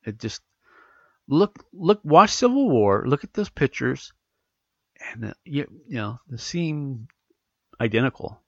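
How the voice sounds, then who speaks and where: Stuffy-nosed podcast guy rambling along, gravelly voice, dull dry recording. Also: medium pitch, a little slow, long pauses, no echo. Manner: rambling, animated, many fillers and restarts. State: congested from allergies. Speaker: podcast host. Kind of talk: casual podcast chat, reading news aloud.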